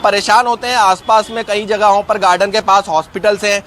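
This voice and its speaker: A man's voice: high-pitched, fairly even intonation, fairly quick, a bit bright, slight background noise. A man giving a live news report as a field reporter.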